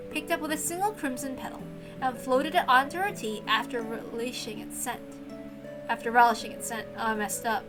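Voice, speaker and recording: High-voiced woman narrating a story. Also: low-quality recording. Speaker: reader and narrator. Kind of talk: expressive scripted story narration. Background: background music.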